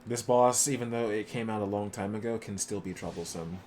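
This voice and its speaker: Male game streamer, lowish voice, casual, explanatory, easygoing, clear noise of game sounds behind. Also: brisk, a little mellow. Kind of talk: casual live game commentary.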